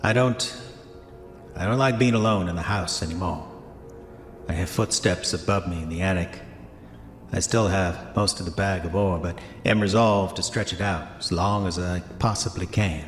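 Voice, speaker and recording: A man's voice: low-pitched, lightly acted. An actor playing a roguish, haunted gold prospector reading his diary in formal old-fashioned words for a horror audio drama. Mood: uneasy, haunted. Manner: formal old-fashioned diction, deliberate, self-justifying. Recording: echoey hall reverb, music underneath.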